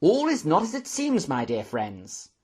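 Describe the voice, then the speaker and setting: An excitable old-fashioned storybook professor, pitched high and swinging widely. He is pompous and patronising, forever exclaiming in melodramatic alarm. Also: measured pace, slightly rough, exaggerated voice. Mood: excited, indignant.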